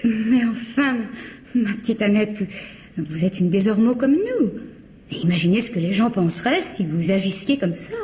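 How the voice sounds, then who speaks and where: An actress playing a haughty, greedy, domineering sister-in-law with a theatrical, imperious manner in a French radio mystery. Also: female voice, mid-range voice, sing-song, moderate pace, darkish tone, a little hiss or hum, lightly acted. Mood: pleading, flustered.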